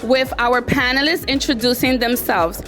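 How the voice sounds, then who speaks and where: A woman's voice: fairly high pitch, moderate pace, a bit bright, soft background music. A woman moderating a panel at a restaurant event, giving formal, scripted introductions. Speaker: moderator of a professionals' group panel. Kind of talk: event hosting. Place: restaurant event space, indoors.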